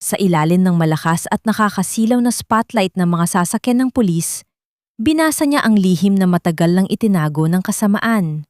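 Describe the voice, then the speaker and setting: A woman's voice: medium pitch, lively intonation, moderate pace, darkish tone, no echo, clean studio sound. A woman narrator reading a scripted audio drama in a dramatic storytelling style.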